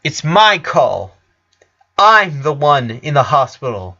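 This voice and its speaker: A man's voice: fairly high pitch, very animated, slow pace, sharp tone, dull audio, pitched above the performer's own voice, heavily acted. A man voicing a sick eleven-year-old boy who is stubborn, weary and snappish in a story reading. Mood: angry, defiant.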